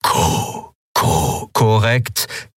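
A damaged machine mind, ancient and servile, stammering each word in a faint breathy whisper. It drags slowly and flatly. The sound is dry and close. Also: male voice, lowish voice, no room sound, voice pushed up, full character voice. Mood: faltering.

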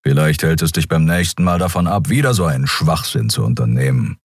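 A man voicing a taciturn male Skria creature who grumbles in a low, growling voice, curt and deadpan. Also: a little fast, breathy edge, no echo, clean studio sound, full character voice.

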